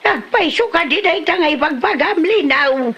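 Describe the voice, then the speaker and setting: A high, lively-pitched village mother played by a woman, scolding and exasperated by pranks. Her delivery is emphatic comedy drama, with a northern Philippine accent. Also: unhurried, highs cut off. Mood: curious, wary. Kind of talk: Ilocano comedy drama acting.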